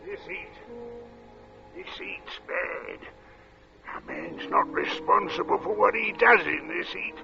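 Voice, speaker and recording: A man acting as a heat-worn, chatty working-class gravestone carver in a 1940s-style radio drama, with folksy, unhurried talk. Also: high-pitched, creaky, music in the background, full character voice. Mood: overheated, oppressed. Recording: muffled old radio drama recording.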